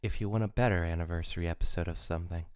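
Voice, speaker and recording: A man's voice: sits low, steady tone, racing, a little mellow, smooth. A man hosting a casual comedy podcast, joking and rambling with some swearing. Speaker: podcast host.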